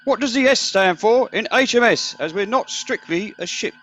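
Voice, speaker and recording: Shrill, excitable corporal. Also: male voice, normal speed, faint music behind. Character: excitable, literal-minded Home Guard corporal, eager to speak. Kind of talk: comedy radio play acting.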